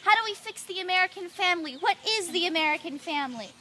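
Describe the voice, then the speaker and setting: A woman's voice: very high voice, animated, quick pace, pushed and bright. A young woman reciting slam poetry in an earnest, rhythmic way, leaning on repeated phrases. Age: young adult. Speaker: student poet.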